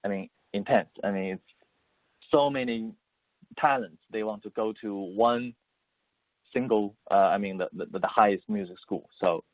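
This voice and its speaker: A man from Beijing whose first language is Mandarin, speaking English with a Mandarin Chinese accent as an interview guest. He answers haltingly and repeats words as he searches for phrasing. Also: fairly low pitch, fairly even intonation, dry recording. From Beijing, China. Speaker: guest composer and musician. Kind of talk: interview answers over the phone. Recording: tinny dry phone line.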